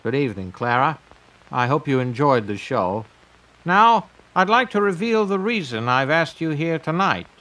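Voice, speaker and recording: A high-pitched old tycoon, makes a grand prepared speech in an audio drama. He is formal and self-important, addressing his listeners like an orator. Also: sing-song, normal speed, sharp tone, poor audio. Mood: solemn, grandiose. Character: grandiose old billionaire in a recorded message.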